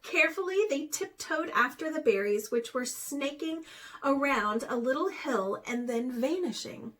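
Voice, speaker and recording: A woman, a teacher, reading a children's storybook aloud in an expressive way. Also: sits high, measured pace.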